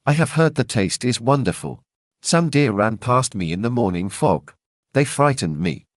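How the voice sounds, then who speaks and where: A man voicing a blustering, hungry male messenger of the king, gruff and impatient, in a storybook reading. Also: mid-range voice, expressive, moderate pace, no echo, clean studio sound, close to an everyday voice. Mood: eager.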